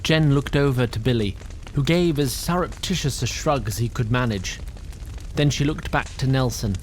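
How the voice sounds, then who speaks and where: A man's voice: medium pitch, moderate pace, a little hiss or hum, rough recording. A male narrator in a mid-range voice, reading a fantasy audio drama. His scripted narration has a wry, storybook touch.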